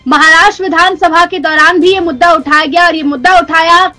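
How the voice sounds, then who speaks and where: A woman's voice: very high voice, steady tone, brisk, bright, forceful tone, soft background music. A woman news presenter, delivering a scripted news narration.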